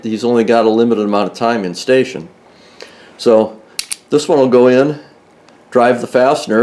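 A man's voice: mid-range voice, a little mellow. An older man explaining a tool in plain, methodical talk, often restarting mid-sentence, recorded inside a car in a garage. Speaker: car restoration video host. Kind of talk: hands-on tool demonstration.

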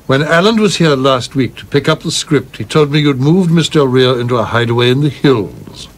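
A male actor plays a stern film producer in a radio drama. His voice sits at a medium pitch, prim and skeptical, questioning his colleagues to keep them in line. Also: expressive, moderate pace, natural voice. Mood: challenging. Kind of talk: radio play acting.

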